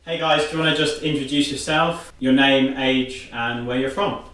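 A man's voice: mid-range voice, steady tone, moderate pace, loud music behind the voice. A man working as a podcast interviewer, asking questions in a casual group chat. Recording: echoey room, distant from mic.

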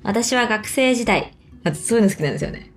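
A woman with a Kansai accent and lively, swinging intonation co-hosts a casual podcast. She tells stories in conversational bursts, acting out quoted speech, and reads out messages from listeners. Music plays underneath her voice. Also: on the high side, fast. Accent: Kansai Japanese. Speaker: podcast co-host. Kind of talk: casual chat and reading listener mail.

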